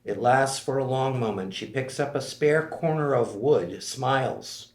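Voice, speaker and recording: A man's voice: medium pitch, unhurried. A man narrating scene directions from a screenplay at a table read. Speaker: stage directions reader. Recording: echoey room.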